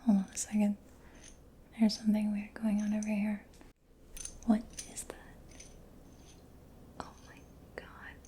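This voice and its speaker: Whispering woman, soft and mellow ASMR. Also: mid-range voice, monotone. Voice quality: breathy, whispery. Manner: gentle, unhurried, murmuring personal attention. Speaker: ASMR creator playing a robot mechanic. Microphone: close-up sensitive microphone. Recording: close-up whisper with clear background noise.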